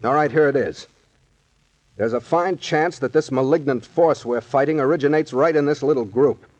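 A composed, commanding captain played by a male actor in an old radio mystery. His voice sits at a medium pitch, and he speaks in a methodical, probing way with plain authority. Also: moderate pace, a little mellow, natural voice, poor audio. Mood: probing, pointed. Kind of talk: 1940s radio mystery acting.

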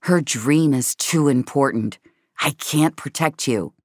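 A woman voicing an aging, cold but regretful male agent in an audio drama, grave and measured. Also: rumbling low, darkish tone, no echo, clean studio sound, exaggerated voice. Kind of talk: dramatic audio fiction acting.

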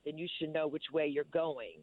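Woman, deep voice, laid-back actress, phone-line quality. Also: moderate pace. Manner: laid-back, with frequent "you know". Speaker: stage actress. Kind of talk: casual interview chat.

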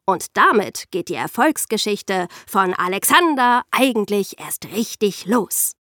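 A woman's voice: medium pitch, sing-song, unhurried, fairly bright tone, dry recording, clean studio sound. A woman presenting a podcast, explaining history in a conversational storytelling style and addressing her listeners directly.

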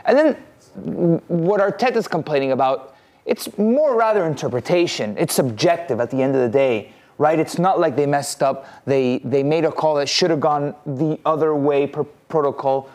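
A man's voice: a little high, moderate pace, darkish tone. A man hosting a podcast discussion, asking questions and building to emphatic points. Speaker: podcast host.

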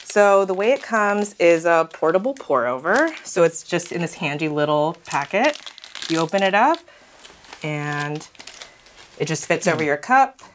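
Low-voiced woman giving an upbeat pitch. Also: animated, unhurried, slight background noise. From California, United States. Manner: upbeat, earnest pitch with occasional ums. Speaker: startup founder pitching investors. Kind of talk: business pitch.

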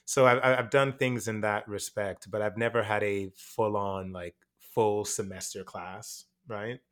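A man born in Jamaica, an actor and teacher, talking over his experiences in a relaxed interview, with frequent fillers and restarts. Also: fairly low pitch, measured pace. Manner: reflective, many fillers and restarts. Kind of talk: casual interview conversation.

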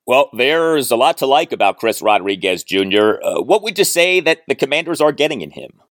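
Emphatic male sports podcaster, very animated pitch. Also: a little fast, no echo, clean studio sound. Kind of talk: scripted introduction and interview questions.

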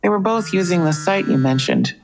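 An actor playing a police investigator in a crime audio drama. The delivery is matter-of-fact and knowing, in a medium pitch with a gravelly creak. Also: animated, fairly quick, close to an everyday voice, low-quality recording.

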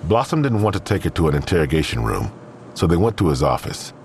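Very deep, animated male narrator. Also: quick pace, slight background noise. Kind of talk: scripted audio drama narration.

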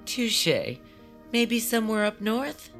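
A woman's voice: on the high side, sing-song, slow pace, fairly bright tone, soft background music, exaggerated voice. An actress playing a plain-spoken fortune teller woman with dry humor in a naturalistic audio drama. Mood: wry, warm.

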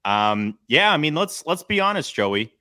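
A man's voice: fairly high pitch, big pitch swings, measured pace, sharp tone. A man hosting a sports podcast, chatting casually with a co-host.

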